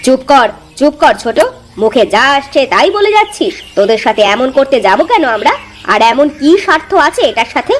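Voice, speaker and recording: A sensible elder sister-in-law from a village cartoon , acted by a woman. She has an admonishing, scolding manner and an expressive delivery. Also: high voice, a little fast, a little hiss or hum. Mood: indignant. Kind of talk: cartoon voice acting.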